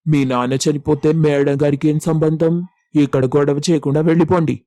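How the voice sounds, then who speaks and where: A stern watchman acted by a man in a moral story. He is curt and dismissive, with very flat intonation and a mellow, slightly high male tone, recorded close and dry. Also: moderate pace, no room sound, natural voice. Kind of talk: acted dialogue in an audio story.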